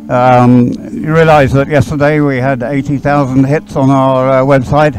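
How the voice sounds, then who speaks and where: A man with a mid-range voice commentates live on racing. He explains as he goes in a halting manner, with music audible behind him. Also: medium pitch, level tone, darkish tone, rough recording. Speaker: sports commentator.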